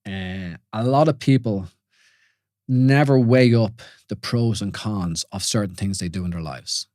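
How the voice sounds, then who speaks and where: A man's voice: on the low side, unhurried, a little mellow, no echo, clean studio sound. An Irish man in his forties hosting a solo podcast, talking things through informally with listeners and often asking "you know?". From Ireland. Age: 40s. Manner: informal, questioning, many restarts and "you know". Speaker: podcast host. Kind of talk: reflective solo podcast talk.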